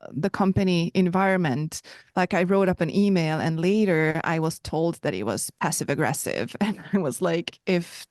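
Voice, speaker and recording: A woman from Hungary with a Hungarian accent, co-hosting a casual podcast conversation, thoughtful and pausing to search for words. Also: lowish voice, darkish tone, no room sound, studio quality. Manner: thoughtful, restarts and hedges while searching for words. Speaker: podcast co-host.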